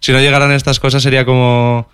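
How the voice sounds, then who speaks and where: A young man with a Valencian accent, Valencian as his first language, answers interview questions about his music. He speaks thoughtfully, often restarting and repeating phrases. His voice is smooth and clear, recorded close and dry. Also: mid-range voice, flat delivery, quick pace, a bit bright, dry recording.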